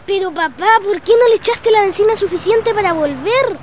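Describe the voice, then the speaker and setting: A small Chilean boy in a radio drama, curious and outspoken. The voice is extremely high, with faint outdoor noise behind it. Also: expressive, fairly quick, darkish tone. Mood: challenging.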